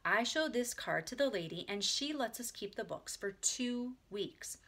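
A woman's voice: on the high side, expressive, measured pace, a bit bright, pitched above the performer's own voice, exaggerated voice. A woman voicing a polite, self-conscious older-sister girl in a children's storybook, earnest and coaxing. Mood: exasperated, explaining.

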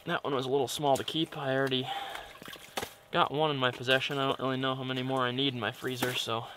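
A young man talking casually as he fishes from a small ice tent, relaxed and chatty. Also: medium pitch, fast, background noise. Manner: relaxed, chatty, gets excited when fish bite. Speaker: outdoor vlogger. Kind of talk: casual vlog narration. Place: small ice fishing tent on a frozen lake. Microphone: camera mic, close.

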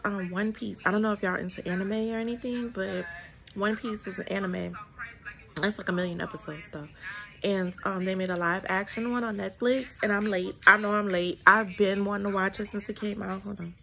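A chatty woman talks into a phone held close in a small bedroom. Her mid-pitched voice has a gravelly fry to it, and faint room noise hums behind her. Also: fairly even intonation, fairly quick, sharp tone. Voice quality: gravelly morning voice. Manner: chatty, laughing, addresses viewers as y'all. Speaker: vlogger. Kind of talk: casual chat to camera. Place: small bedroom at home, indoors. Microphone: phone held close or propped at arm's length.

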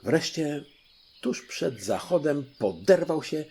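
Animated male audiobook storyteller. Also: a little high, slow pace, slight background noise. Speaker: audiobook narrator. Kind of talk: scripted children's story narration.

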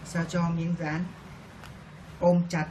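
Higher-voiced man, noisy recording. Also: completely flat delivery, slow. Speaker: shop demonstrator giving instructions. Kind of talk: step-by-step tutorial narration. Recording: clearly noisy room recording.

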